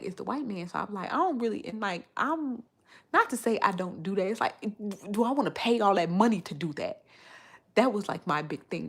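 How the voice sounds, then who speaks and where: Low-pitched, creaky American woman livestreaming. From Chicago, United States. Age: 30s. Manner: slangy, swearing, emphatic, repeats phrases for emphasis. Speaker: live streamer. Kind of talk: casual livestream chat, animated storytelling.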